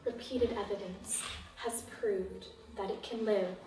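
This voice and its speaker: A woman reciting poetry in a deliberate way, in short phrases with long pauses between them. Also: medium pitch, steady tone. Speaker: poetry reader. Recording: echoey room, distant mic, faint noise.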